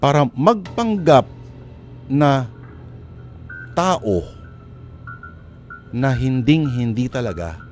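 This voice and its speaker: A male radio host presents a drama in an emphatic, theatrical way. He stretches out pauses between phrases at a middle pitch, with faint music underneath. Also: lively intonation.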